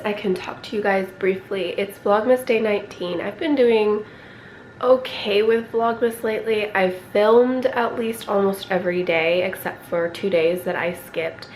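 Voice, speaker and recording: Young woman vlogger, raspy voice, recovering from a cold, rambling home chat, faint background noise. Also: mid-range voice, a little mellow. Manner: rambling, with frequent throat clearing. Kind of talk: casual vlog chat. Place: at home, indoors.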